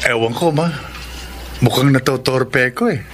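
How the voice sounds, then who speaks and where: A man's voice: mid-range voice, unhurried, noisy background, close to an everyday voice. An actor playing a kind, hesitant grown man, shy in love, with a Filipino accent in a radio drama. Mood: bashful. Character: kind, bashful long-single grown son, shy in love. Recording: radio drama with music underneath.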